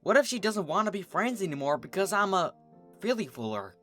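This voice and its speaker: A narrator voicing an anxious, humble female farm pony who speaks in a halting, folksy way, in a cartoon fan story. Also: medium pitch, sing-song, fairly bright tone, soft background music, voice pushed up. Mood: scared.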